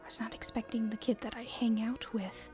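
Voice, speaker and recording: A woman playing a nervous, polite young princess in an audio roleplay, speaking in a genteel, breathy way. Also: fairly high pitch, flat delivery, fairly quick, dark tone, in a whisper, faint music behind, natural voice. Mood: urgent, bewildered. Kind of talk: roleplay ASMR acting.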